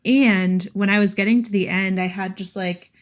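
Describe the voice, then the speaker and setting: A woman's voice: medium pitch, steady tone, normal speed. A woman co-hosting a podcast, chatting casually about books in a giggly, enthusiastic way. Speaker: podcast co-host. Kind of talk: casual book chat.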